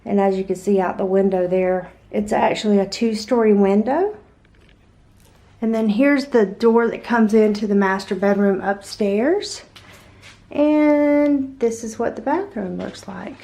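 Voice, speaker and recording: A woman vlogging a walk-through of a hotel suite, chatty and upbeat, her voice a little raspy after an overnight flight, recorded on the filming camera indoors. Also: mid-range voice, measured pace, dark tone. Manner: chatty, upbeat, tour-guide pointing out details. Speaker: travel vlogger. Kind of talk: casual hotel tour vlog.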